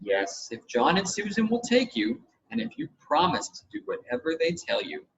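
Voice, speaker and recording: A man voicing a kindly, calm mother with a measured, reassuring manner in a storybook reading. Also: high-pitched, creaky, voice pushed up, heavily acted. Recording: dull, lo-fi with audible background noise.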